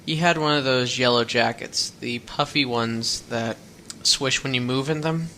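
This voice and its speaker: Teen runaway boy, guarded but earnest, bright voice, faint hiss behind. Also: mid-range voice, a little slow. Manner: plain-spoken, rambling storyteller with folksy words. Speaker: hitchhiking runaway. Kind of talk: radio drama acting.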